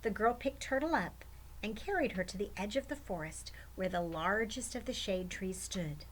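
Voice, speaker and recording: A woman's voice: medium pitch, lively intonation, moderate pace, slight background noise, rough recording. A woman storyteller reading expressively to children, with chatty asides.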